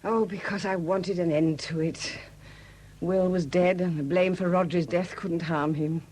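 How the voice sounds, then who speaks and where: An actress playing a weary, evasive, emotionally numb widow under suspicion in a 1970s radio drama, speaking in a guarded, defensive way. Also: female voice, on the low side, fairly even intonation, a little slow, darkish tone. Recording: dated, faintly noisy 1970s radio recording.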